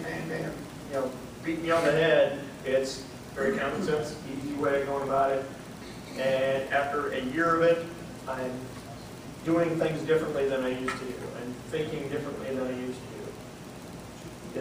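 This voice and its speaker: Flat-voiced man, far off in an echoey room. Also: on the high side, noisy background. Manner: plain-spoken, speaking without a microphone. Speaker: program member giving a testimonial. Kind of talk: personal testimonial. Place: large meeting room indoors. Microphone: no microphone, far camera.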